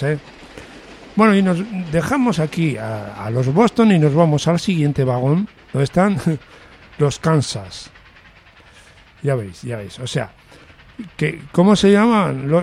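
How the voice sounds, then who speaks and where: Male host, middle-aged, accent from Spain, fairly high voice, chatty and jokey with lots of restarts, faint hiss behind him. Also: darkish tone. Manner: chatty, joking, repeats words as he goes. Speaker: music radio host. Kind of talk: casual music commentary.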